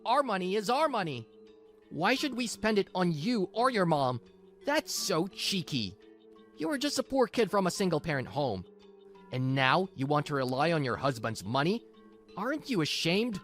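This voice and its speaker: Low-pitched, scornful young husband played by a woman. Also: sing-song, moderate pace. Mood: scornful, indignant. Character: callous, dismissive young husband, spoiled and scornful. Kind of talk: manga dub drama acting. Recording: music behind the voice.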